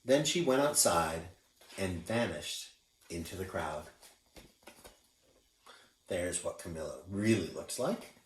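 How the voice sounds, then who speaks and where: A man reading a picture book aloud to children as a teacher, expressive and encouraging, pointing out the pictures. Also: deep voice, slow pace, pauses often, distant mic, a little hiss or hum. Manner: expressive, encouraging storyteller who shows pictures. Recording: echoey room.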